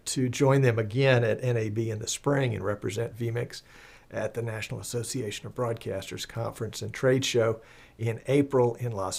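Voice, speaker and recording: A man hosting a live show in a chatty, folksy, rambling and enthusiastic way, croaky as he recovers from the flu. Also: medium pitch, darkish tone. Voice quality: slightly husky, croaky. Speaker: live stream show host.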